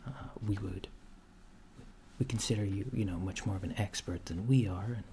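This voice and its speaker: A man doing a soft-spoken ASMR roleplay as a curious, puzzled alien interviewer, speaking in a deliberate, halting, deadpan way. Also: lowish voice. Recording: clear background noise, keyboard typing. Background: computer keyboard typing.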